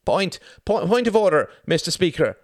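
A man playing a frantic bald politician, high strained pleading voice, loud, wide swings; paid desperation. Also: big pitch swings, normal speed, no room sound, studio quality. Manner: desperate, overwrought speechifying.

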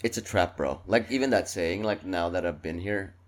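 A man's voice: low-pitched, normal speed, slight background noise. A man from the Philippines co-hosting a podcast, talking earnestly and reflectively in a casual chat. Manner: earnest, reflective, repeats words. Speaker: podcast co-host.

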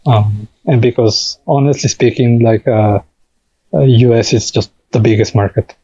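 A man's voice: medium pitch, steady tone, moderate pace, warm tone, husky, lo-fi sound. A man who is a native Spanish speaker, explaining his work as a game developer in a casual interview, in piecemeal phrases.

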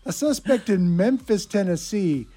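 High-pitched man co-hosting morning radio. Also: lively intonation, unhurried, warm tone, soft background music. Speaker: radio morning show co-host. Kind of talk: casual morning radio banter.

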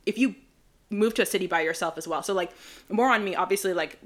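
A woman's voice: mid-range voice, quick pace. Chatty young woman from the Bahamas. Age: young adult. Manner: chatty, rambling, heavy use of "like". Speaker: podcast co-host. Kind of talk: casual chat.

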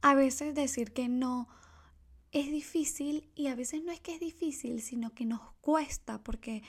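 A young woman from Ecuador talks through her solo podcast with a slightly high voice. She is chatty and confiding, and her talk is full of asides and fillers. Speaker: podcast host.

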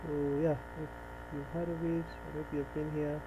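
A man's voice: fairly high pitch, little pitch movement, normal speed, highs cut off. A man from Nairobi with a Kenyan accent chats casually and unscripted, rambling and hesitant as he thinks aloud. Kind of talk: casual unscripted monologue chat. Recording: noisy with clear mains hum.

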